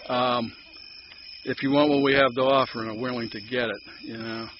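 An older man with a Pittsburgh accent sharing his story at a recovery meeting, rambling and halting with many fillers and restarts. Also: mid-range voice, steady tone. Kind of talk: personal sharing at a support meeting. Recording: dull lo-fi recording with background noise.

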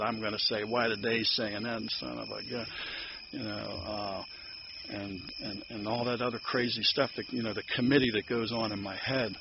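An older man with a Pittsburgh accent sharing his story at a recovery meeting, rambling and halting with many fillers and restarts. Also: on the low side. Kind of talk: personal sharing at a support meeting. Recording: dull lo-fi recording with background noise.

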